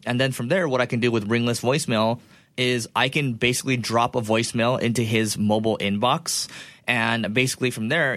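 A man's voice: on the low side, a bit bright, no room sound. A man co-hosting a podcast, explaining things in a casual, conversational way. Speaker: podcast co-host. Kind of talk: casual explanatory marketing talk.

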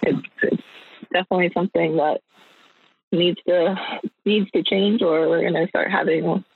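A woman's voice: lowish voice, steady tone, moderate pace, vocal fry, husky, no room sound. A young adult woman chatting casually as an interview guest, thinking aloud in a hesitant way. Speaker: guest, small business owner. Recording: thin phone-like line.

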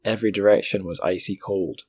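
A man's voice: low-pitched, fairly even intonation, a little fast, darkish tone, husky. A man hosting a podcast, speaking in conversation or reading aloud. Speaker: podcast host.

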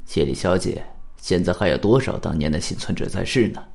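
A man playing a calm, shrewd detective in a radio drama, speaking formally in a measured, deliberate way. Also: deep voice, creaky. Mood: measured, probing. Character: calm, shrewd male detective, probing and cautioning.

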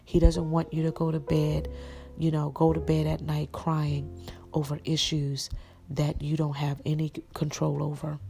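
A woman with a raspy voice, preaching an encouraging sermon and praying with an earnest, repetitive cadence. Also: low voice. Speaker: podcast minister. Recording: music playing behind the voice.

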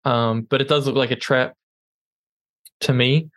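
A man's voice: medium pitch, steady tone, a little slow, a bit bright, no room sound. Hesitant man, dry close sound. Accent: non-native English accent. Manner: hesitant, many ums and restarts. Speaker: podcast co-host. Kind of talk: casual news chat.

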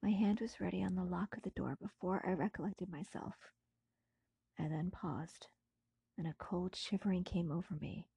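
A woman reading a classic novel as an audiobook in a breathy, husky, often whispery voice, with hushed, theatrical and deliberate phrasing. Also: fairly low pitch, dry recording. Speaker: audiobook narrator. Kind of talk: dramatic scripted narration of a classic novel.

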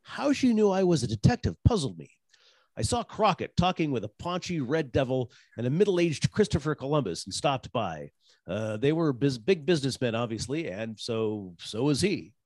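A man acting a wry, lively radio detective. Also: mid-range voice, moderate pace, no room sound. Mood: wry narrating. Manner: wry, deadpan quips. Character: wisecracking 1940s private detective, dry and flirtatious. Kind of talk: old-time radio detective acting, hard-boiled first-person narration and dialogue.

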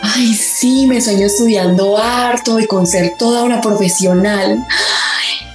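A woman's voice: mid-range voice, fairly even intonation, measured pace, close to an everyday voice. An actress playing a dreamy, determined young woman in an emotional radio drama. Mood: dreamy, excited. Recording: echoey, loud music behind.

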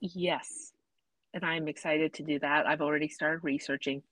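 A woman's voice: low-pitched, fairly even intonation, normal speed, fairly bright tone, dry recording. A woman co-hosting a podcast in casual chat, hesitant, with many ums and trailing sentences. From Canada. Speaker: podcast co-host.

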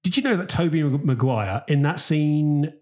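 A young man co-hosting a casual podcast, easygoing and quick to laugh, with goofy asides. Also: on the high side, lively intonation, a little mellow. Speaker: podcast co-host. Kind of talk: casual chat.

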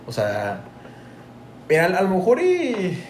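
A man's voice: high-pitched, huge pitch swings, takes it slowly, darkish tone. A young man from Tampico with a Mexican Spanish accent, co-hosting a podcast in casual, profane chat full of slang. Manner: slangy, profane, says "güey" constantly. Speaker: podcast co-host. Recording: echoey room with clear background noise.

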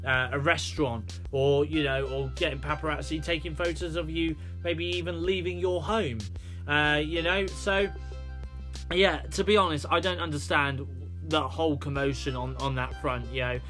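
A man's voice: on the high side, fairly bright tone. A British man hosting a solo podcast, rambling with many fillers and restarts. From United Kingdom. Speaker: podcast host.

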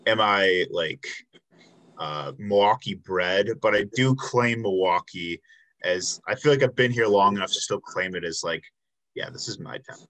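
Low-pitched young guy host, relaxed and loose, drops fillers and the odd curse word. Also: a little slow. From Chicago south suburbs, USA. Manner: laid-back, many fillers, swears casually. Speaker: podcast host. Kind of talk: casual interview chat.

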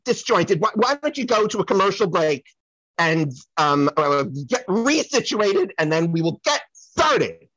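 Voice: medium pitch, big pitch swings, unhurried, fairly bright tone, no room sound. A performer playing an apologetic, flustered psychic in a comedic audio play, stammering through excuses, on a slightly dull recording. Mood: flustered, covering up. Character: flustered, apologetic psychic to the stars, fibbing brightly.

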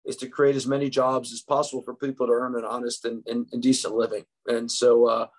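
A man's voice: medium pitch, level tone, fairly quick. A man in his fifties from New York, with a New York accent, a podcast guest telling his life story in a reflective, conversational way with frequent restarts. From United States.

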